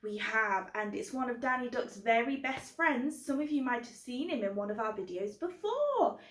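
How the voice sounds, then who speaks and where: British female children's host, fairly high voice, simple direct address, roomy echo. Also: very animated, moderate pace. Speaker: host of a children's book club. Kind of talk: children's presenting. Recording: echoey room.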